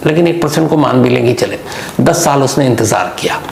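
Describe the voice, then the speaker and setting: A man with a gravelly voice telling a true-crime story as a monologue, deliberately, posing rhetorical questions to the listener. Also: mid-range voice, animated, a little mellow. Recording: echoey room with faint background noise.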